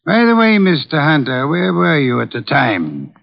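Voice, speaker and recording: An actor playing a pompous, shrewd, unflappable private detective in a 1940s radio drama, speaking formal, precise English. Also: male voice, on the high side, wildly swooping pitch, normal speed, creaky, heavily acted. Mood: cool, dry. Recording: muffled old 1940s radio recording.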